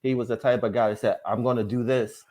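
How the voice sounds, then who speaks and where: A man hosting a podcast interview, speaking calmly and briefly to support his guest. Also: medium pitch, fast. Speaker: podcast host. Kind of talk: casual interview chat.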